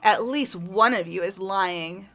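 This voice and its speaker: A woman's voice: medium pitch, slow pace, fairly bright tone, faint music behind. A woman voicing a blunt, hot-headed young female athlete who insists on her accusations. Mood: accusing. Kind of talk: fan voice acting of a game.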